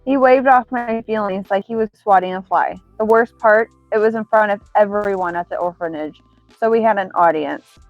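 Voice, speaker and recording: Tearful heartbroken young woman, higher teary voice, dry lo-fi sound, faint music, as a woman reads her. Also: moderate pace, no room sound, close to an everyday voice. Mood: hurt.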